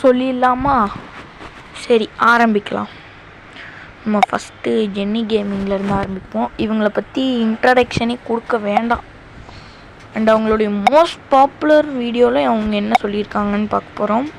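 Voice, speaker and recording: A woman talks casually to her audience in a fairly high-pitched voice. She is a self-deprecating video creator, and clear noise sits behind her speech. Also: fairly even intonation, moderate pace. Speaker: video creator trying out gamers' intro lines. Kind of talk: casual chat to viewers. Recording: clearly noisy, background hum.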